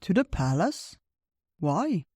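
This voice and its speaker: A storyteller voicing a wise, gentle old male bear in a theatrical, drawn-out way for a children's tale. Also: mid-range voice, sing-song, husky, dry recording, studio quality, voice pushed up, full character voice. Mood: curious. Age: older.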